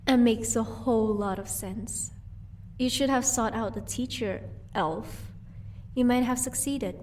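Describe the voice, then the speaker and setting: Higher-pitched teasing vampire wife, acted by a woman. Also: a little slow, a little mellow, slight background noise, close to an everyday voice. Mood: cool, haughty. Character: amused, haughty vampire wife, mistress of the estate. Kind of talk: audio roleplay acting. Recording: voice distant from the microphone.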